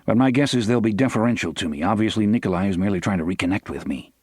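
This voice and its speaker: A man's voice: lowish voice, rapid-fire, a little mellow, no echo, clean studio sound, lightly acted. A man voicing a grim, tense pilot and father with terse delivery in an audiobook. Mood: urgent. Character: grim, terse airline captain father under strain.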